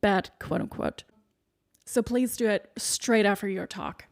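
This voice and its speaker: A woman's voice: mid-range voice, a little slow, gravelly. A woman hosting her own podcast as a speaking coach, with a soothing voice, talking listeners through numbered tips in an encouraging tone. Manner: warm, encouraging, lists points by number. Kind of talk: solo podcast advice monologue. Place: home studio in the UK.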